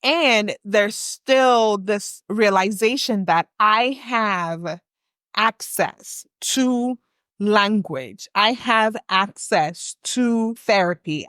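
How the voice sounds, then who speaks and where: A woman's voice: medium pitch, a bit bright, no room sound, clean studio sound. A young adult woman from West Africa, co-hosting a reflective podcast conversation and building her points in long, earnest runs. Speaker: podcast co-host.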